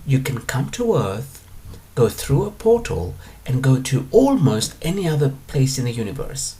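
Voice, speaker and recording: Calm man giving an animated spiritual lecture. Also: mid-range voice. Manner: calm, explanatory, with drawn-out pauses. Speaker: spiritual teacher answering questions. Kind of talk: monologue lecture.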